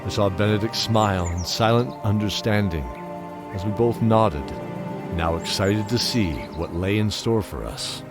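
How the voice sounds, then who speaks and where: Old holy knight narrator, male actor, low and solemn, first-person fantasy storytelling over prominent music. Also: measured pace. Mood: solemn, reflective. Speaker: narrator and actor of an audio drama. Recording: background music throughout.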